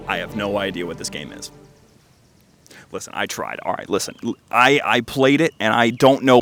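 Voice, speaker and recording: Casual man joking through game commentary, music behind. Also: fairly low pitch. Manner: self-deprecating, slangy, rambling. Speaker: video game reviewer and host. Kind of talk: casual, joking commentary over gameplay footage.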